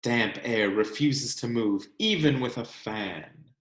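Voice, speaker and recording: An American man reads a children's picture book aloud with expressive, deliberate storyteller phrasing and the occasional casual aside. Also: mid-range voice. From California, United States. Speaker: read-aloud host, teacher reading to children. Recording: echoey room.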